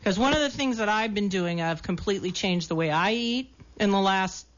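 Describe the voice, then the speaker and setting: A woman co-hosts a radio interview in a casual, affirming way. Her voice is medium in pitch. The recording is slightly dull and lo-fi. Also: a little fast. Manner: agreeable, affirming. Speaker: radio show co-host.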